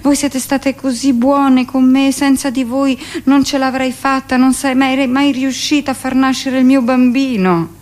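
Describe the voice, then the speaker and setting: An actress puts on a grateful new mother in a radio play. Her voice is raised high, meek and pleading, with anxious repetitions and an apologetic manner. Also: level tone, unhurried, few pauses, a little mellow. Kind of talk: acted quote in a theatrical monologue.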